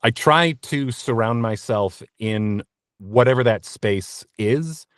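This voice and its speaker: A man's voice: medium pitch, takes it slowly, no room sound, clean studio sound. A man answering as an interview guest in a conversational, practical, explanatory way. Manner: practical, explanatory advice-giving.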